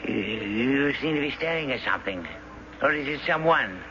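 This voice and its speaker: An actor playing a courtly, philosophical gentleman in a radio drama, speaking politely and haltingly in ornate phrases. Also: medium pitch, animated, natural voice. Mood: gently persuasive. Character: courtly, philosophical man with a curious stone. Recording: muffled, hissy vintage radio drama recording.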